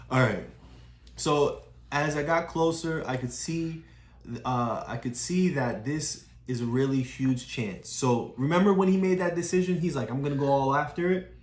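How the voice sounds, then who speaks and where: Low-voiced woman explaining to a child. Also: moderate pace, darkish tone. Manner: patient, explaining, repeats key phrases. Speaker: parent reading with a child. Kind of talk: talking through a picture book with a child. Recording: echoey room.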